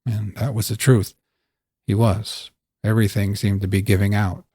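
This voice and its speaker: A man's voice: on the low side, flat delivery, moderate pace, warm tone, slightly rough, dry recording. A man hosting and narrating a scripted fiction story. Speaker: host and narrator.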